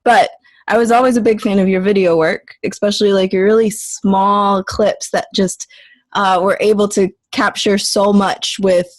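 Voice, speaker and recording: A woman's voice: medium pitch, little pitch movement, moderate pace, no echo. A woman in her late twenties interviewing an old classmate, chatty and friendly with casual slang.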